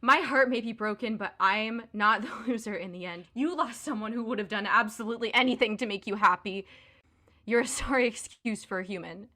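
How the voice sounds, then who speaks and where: Actress as biting, furious young woman, bright voice. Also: a little high, a little fast. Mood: bitter, sarcastic. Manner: sarcastic, biting, swearing. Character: hurt, furious young woman confronting cheating boyfriend. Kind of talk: audio drama acting.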